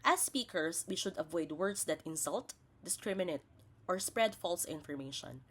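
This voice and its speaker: Lower-voiced woman teacher, lively intonation. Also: moderate pace, slightly rough. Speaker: teacher presenting a lesson. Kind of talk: scripted instructional narration.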